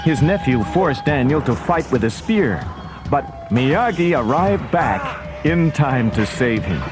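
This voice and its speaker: A man's voice: medium pitch, sing-song, slow, over music. A man narrating a children's story recording from a script in a dramatic storytelling style.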